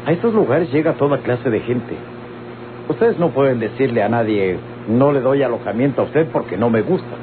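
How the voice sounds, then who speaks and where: An actor playing a wealthy Mexican hacienda owner in an old radio drama, formal, insistent and persuasive. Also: male voice, medium pitch, animated, normal speed, natural voice. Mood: insistent warning. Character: wealthy, persuasive male hacienda owner pressing women to sell. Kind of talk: old radio-novela acting. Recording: noisy, humming, tinny old radio recording.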